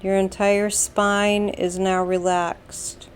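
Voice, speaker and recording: A woman with an American accent leads a guided meditation, giving calm, soothing instructions in a deliberate way with long pauses. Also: mid-range voice, a little hiss or hum. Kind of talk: slow guided meditation narration.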